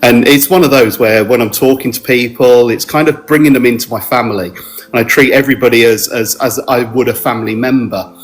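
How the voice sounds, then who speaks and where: A middle-aged man from South London with a South London accent, talking modestly in an interview and pausing often with ums and restarts. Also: mid-range voice, low-quality recording. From South London, England. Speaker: mortgage adviser guest. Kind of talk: relaxed podcast interview.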